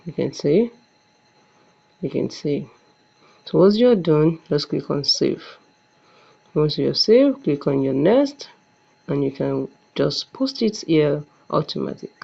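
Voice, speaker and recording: A man's voice: a little high, expressive, takes it slowly, creaky, long pauses, dark tone, slightly rough. A man presenting a step-by-step app tutorial, often repeating and restarting his phrases on a muffled recording. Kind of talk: step-by-step screen tutorial narration.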